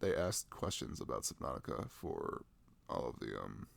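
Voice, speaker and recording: A man's voice: extremely low pitch, sing-song, moderate pace, creaky, dry recording. A young adult man chatting casually and enthusiastically about video games in a rambling, slangy way, close to the microphone.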